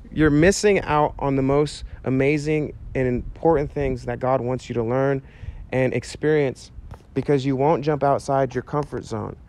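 A man in his thirties preaching outdoors to a camera, earnest and animated, talking casually with fillers. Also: medium pitch, a little hiss or hum. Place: outdoors by a chain-link fence on a sunny day. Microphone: handheld mic, medium camera shot.

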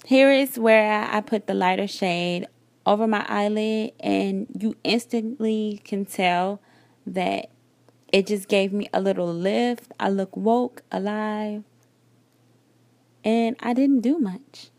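A woman's voice: medium pitch, slow pace, creaky, no room sound. Woman in her thirties, dry chatty voiceover. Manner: relaxed, chatty step-by-step explaining. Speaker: beauty vlogger. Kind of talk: makeup tutorial voiceover. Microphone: voiceover recorded separately.

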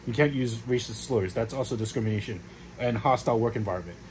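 A young man talks straight to a close camera in a small room at home, rambling through his points with lots of fillers and restarts. His pitch sits in an ordinary middle range, and a faint hum of room sound is heard behind him. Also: fast, low-quality recording. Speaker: vlogger explaining workplace law. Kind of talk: casual explainer to camera.

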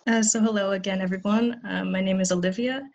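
A young woman in her twenties, a student, giving a presentation over a video call, soft-spoken and hesitant with frequent fillers. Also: medium pitch, steady tone, quick pace, creaky. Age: 20s. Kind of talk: conference presentation.

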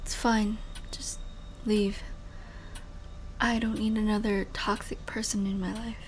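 A woman's voice: medium pitch, flat delivery, unhurried, a little hiss or hum, lightly acted. A woman playing a betrayed, bitter and guarded young female spy in an emotional audio roleplay. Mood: cold, bitter.

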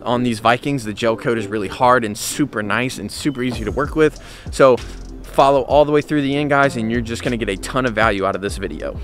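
An upbeat young man chats straight into a handheld camera, full of "guys" and easy fillers as he walks through a how-to. His voice sits at an ordinary middle pitch and is recorded outdoors with faint music underneath. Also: fairly quick. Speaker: boat detailing business owner. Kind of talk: casual how-to vlog. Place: outdoors at a sunny marina. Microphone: handheld camera at arm's length.

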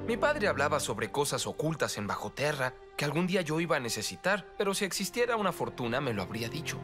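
A man's voice: on the high side, big pitch swings, quick pace. A man voicing a determined, earnest teenage boy hero in a Latin American Spanish cartoon dub, with youthful delivery. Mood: puzzled. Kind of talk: animated series dub. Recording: loud music behind.

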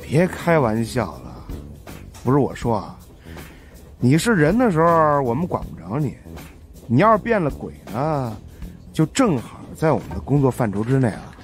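A man's voice: medium pitch, big pitch swings, moderate pace, faint music behind, pitched above the performer's own voice, close to an everyday voice. A man voicing a cocky, wisecracking fat male investigator in a mocking, flippant way for an audiobook.

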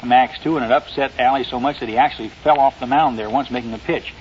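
Male radio guest, early 1950s sports writer; quick, halting, folksy yarns; dull old recording with some noise. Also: medium pitch, steady tone, a little mellow.

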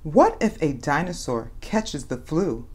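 A woman with a slightly low voice reads a picture book aloud for young children. Her delivery is very animated, with wide swings in pitch. She plays up the story as a host speaking to little listeners. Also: a little slow, breathy edge. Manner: animated, dramatizes questions and exclamations for young children.

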